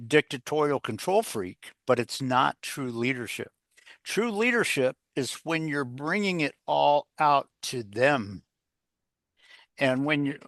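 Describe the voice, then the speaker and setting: Bright-voiced man, emphatic and conversational. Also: medium pitch, no echo, clean studio sound. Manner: emphatic, rambling, folksy, repeats himself. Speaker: executive coach, interview guest. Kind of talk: conversational explanation of leadership advice.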